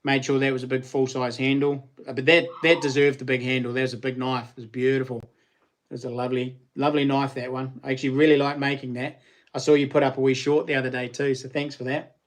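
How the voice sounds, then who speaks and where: A man's voice: medium pitch, flat delivery, quick pace. A middle-aged man with a New Zealand accent, hosting a casual livestream and chatting with viewers in a rambling, slangy way with frequent laughs. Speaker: livestream host.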